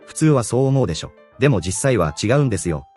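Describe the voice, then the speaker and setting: A man narrating a scripted language lesson, explaining phrases in a brisk, explanatory way. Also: medium pitch, big pitch swings, darkish tone, faint music behind. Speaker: English-learning narrator.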